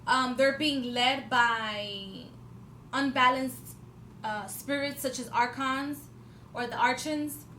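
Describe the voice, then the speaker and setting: A high-pitched woman in her twenties talks in a loose, rambling vlog, full of fillers and restarts. She swears now and then for emphasis. The room sounds echoey, with a faint hiss of noise behind her. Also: slow pace, sharp tone. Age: 20s. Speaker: vlogger. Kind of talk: casual spiritual talk to camera.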